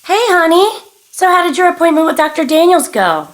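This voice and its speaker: A woman's voice: very high-pitched, sing-song, fairly quick. A woman playing an affectionate, inquiring family member in a naturalistic audio drama. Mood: caring, curious. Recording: noisy, with sizzling frying sounds. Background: clicks and sizzling of cooking.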